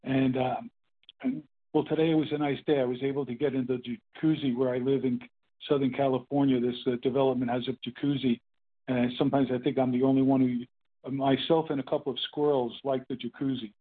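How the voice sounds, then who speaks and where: An older man in his eighties sharing his story at a meeting, rambling and halting with many fillers and restarts. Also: mid-range voice, flat delivery. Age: 80s. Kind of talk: casual spoken testimony. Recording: phone-line call.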